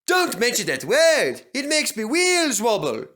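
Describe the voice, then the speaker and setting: A man voicing a brave, excitable steam engine in a storybook, with a Scottish accent and emphatic, hearty exclamations. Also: very high-pitched, a little slow, pitched above the performer's own voice, exaggerated voice. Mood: alarmed.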